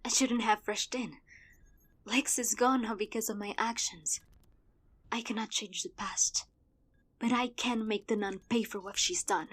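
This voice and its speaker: A woman's voice: medium pitch, fairly even intonation, a little slow, a bit bright, breathy edge. An actress playing a headstrong, defiant young woman squad leader in an audio drama. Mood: regretful. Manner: defiant, impulsive.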